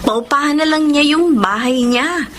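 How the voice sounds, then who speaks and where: A woman's voice: high-pitched, measured pace, background noise. A woman playing a caring, practical relative in a radio drama. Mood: friendly, practical. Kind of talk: Tagalog radio drama acting.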